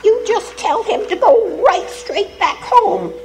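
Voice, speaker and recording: Very high, tart, meddling mom, played by a woman; slow delivery, music behind. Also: lively intonation, full character voice. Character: nosy, disapproving mother. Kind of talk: live amateur radio drama.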